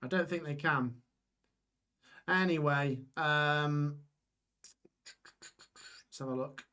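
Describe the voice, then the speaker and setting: A young man hosting a live football watch-along stream, reading out scores and chatting with viewers while his voice gets hoarse. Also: on the high side, takes it slowly, bright, forceful tone. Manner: chatty, reads scores aloud, reacts to chat. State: voice going hoarse.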